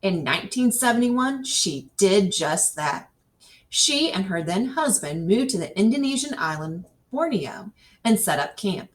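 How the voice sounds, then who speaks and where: A woman reads an educational nonfiction text aloud as a scripted narration, with careful, clearly phrased delivery. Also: a little high. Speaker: reader. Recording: distant microphone.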